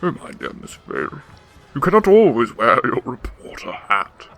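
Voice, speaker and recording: A man's voice: mid-range voice, big pitch swings, normal speed, creaky, fairly bright tone. A man playing a refined older club owner in a radio drama, speaking in a florid, courtly, theatrical way. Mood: courtly, genial. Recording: music behind.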